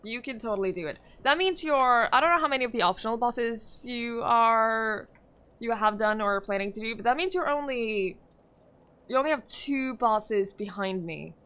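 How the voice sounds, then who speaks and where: Bright-voiced young Norwegian woman streamer. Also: fairly high pitch, expressive, moderate pace, smooth. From Norway. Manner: chatty, reads and answers chat, frequent fillers and laughs. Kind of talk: casual live-stream chat while gaming.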